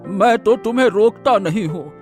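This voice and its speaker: A man's voice: high voice, expressive, normal speed. A man playing a kindly, trusting, elderly factory owner in a cartoon moral story, with a fatherly manner. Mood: reassuring. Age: older. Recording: music behind the voice.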